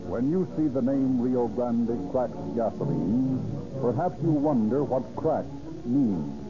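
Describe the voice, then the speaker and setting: A man's voice: mid-range voice, expressive, takes it slowly, over music. An American man announcing in a formal, emphatic 1930s radio style, selling and narrating from a script. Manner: formal, emphatic salesman-like delivery. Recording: dull old radio recording.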